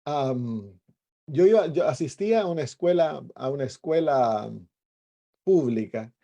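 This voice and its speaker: A middle-aged man with a Chilean accent speaks as an evangelist pastor giving his testimony. His voice is slightly high for a man, with a dark, relaxed tone. He tells his story conversationally, pausing now and then as he searches for words. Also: muffled recording. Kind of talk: personal testimony.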